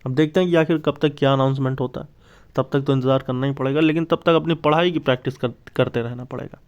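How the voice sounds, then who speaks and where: A man's voice: medium pitch, fairly even intonation, fast talker, clear, resonant tone, lo-fi sound. A man hosts an informal explainer for exam aspirants, stressing key points by repeating them. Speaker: exam-news YouTube host.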